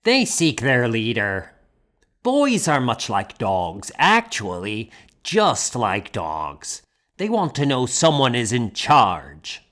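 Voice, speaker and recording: A hearty monk schoolmaster performed by a male audiobook reader, sure of himself and a little mocking. He speaks bluntly and with emphasis, at an unhurried pace. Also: mid-range voice, wildly swooping pitch, a bit bright, voice pushed up, heavily acted. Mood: musing, confident. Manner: blunt, emphatic, self-assured. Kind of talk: audiobook character dialogue.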